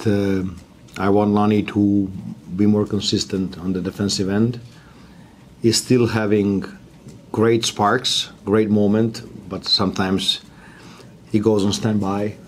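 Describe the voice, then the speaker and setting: Blunt coach, low voice. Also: male voice, darkish tone, a little hiss or hum. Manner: blunt, emphatic, broken English phrasing. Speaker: basketball coach at a press conference. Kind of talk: press conference answers.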